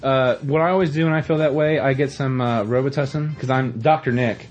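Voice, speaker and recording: A man's voice: medium pitch, steady tone, brisk, muffled recording. A young man hosting a podcast in casual chat, rambling through jokey stories with asides. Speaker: podcast host.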